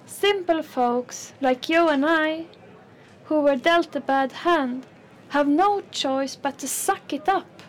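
An actress playing a resigned, pious working-class woman who speaks mildly and asks gentle questions. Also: high-pitched, slow. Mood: placid. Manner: mild, questioning and placid. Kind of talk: radio play acting.

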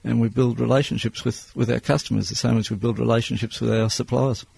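Gravelly, husky older Australian man. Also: medium pitch, flat delivery, darkish tone. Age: 60s. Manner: plain-spoken, measured. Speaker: business owner guest. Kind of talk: interview answers.

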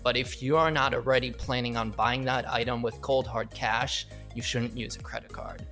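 A man hosts a casual interview and picks up his guest's points in a measured, conversational way. His pitch is mid-range. Soft music plays faintly behind him. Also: steady tone, creaky.

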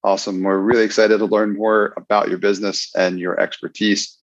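Low-voiced man hosting a podcast. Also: flat delivery, a little fast, no echo. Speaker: podcast host. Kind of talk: podcast interview. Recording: dull, dry lo-fi sound.

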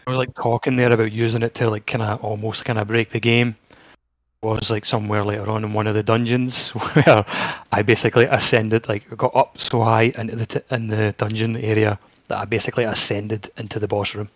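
A man's voice: on the low side, little pitch movement, a little fast, no room sound. A man co-hosting a gaming podcast, talking casually and informally. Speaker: podcast host.

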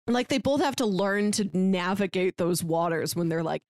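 A woman's voice: lowish voice, lively intonation, moderate pace, darkish tone, dry recording, studio quality. A woman giving casual, conversational commentary, peppered with "like" and bits of imagined dialogue. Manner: voices imagined dialogue as examples. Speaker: podcast co-host.

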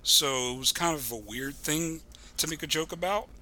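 A man's voice: a little high, normal speed, sharp tone. A man who is a podcast guest, telling a story casually in a rambling, digressive way.

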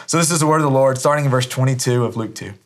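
A hoarse man in his thirties, a pastor giving an earnest, conversational sermon with many restarts. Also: medium pitch, very fast. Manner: earnest, conversational, frequent restarts and repeated words.

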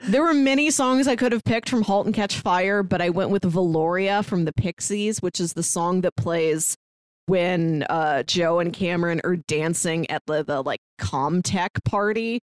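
A woman's voice: lowish voice, moderate pace, clear, resonant tone, dry recording. An American woman co-hosting a podcast in a casual recap chat, informal and enthusiastic, sprinkling in "like" often. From St. Louis, United States. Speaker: podcast co-host.

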